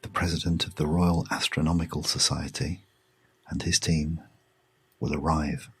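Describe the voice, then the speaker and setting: Very deep-voiced British man, soothing narrator. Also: little pitch movement, slightly rough. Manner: hushed, soothing, measured with long pauses. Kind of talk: guided sleep meditation storytelling. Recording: music behind the voice. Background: music.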